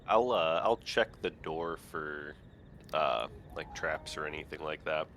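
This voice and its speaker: A man playing a tabletop game, talking casually and haltingly with many fillers. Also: rumbling low, a bit bright, a little hiss or hum. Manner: hesitant, halting with "uh" and "kinda". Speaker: player.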